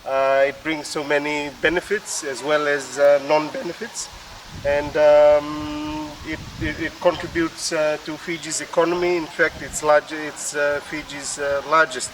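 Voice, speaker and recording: A man with a Fijian accent explaining things as an interview guest, hesitantly, with many pauses. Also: a little high, fairly bright tone. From Fiji. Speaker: interview guest, PhD researcher. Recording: distant mic with faint urban noise.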